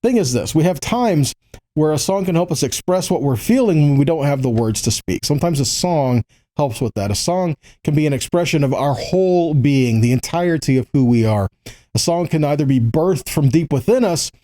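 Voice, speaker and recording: A man's voice: a little high, expressive, normal speed, mellow. A man giving an informal sermon, explaining scripture in a conversational way and often checking in with his listeners. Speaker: religious teacher giving a weekly Torah portion teaching.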